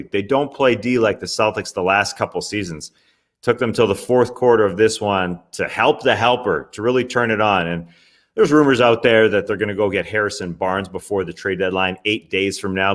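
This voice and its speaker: A man's voice: lowish voice, a bit bright. A young man hosting a basketball recap show, talking in a casual, chatty way with wry asides. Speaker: sports podcast host. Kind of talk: NBA recap commentary.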